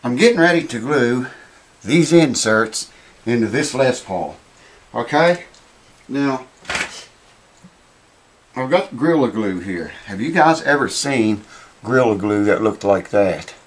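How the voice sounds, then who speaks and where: A man, hoarse and losing his voice, chatting casually to camera in a small home workshop. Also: medium pitch, lively intonation, a little slow, pauses often, slight background noise. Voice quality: raspy. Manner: rambling, folksy, swears casually. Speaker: guitar repair vlogger. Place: small cluttered home workshop with mounted animal heads, indoors.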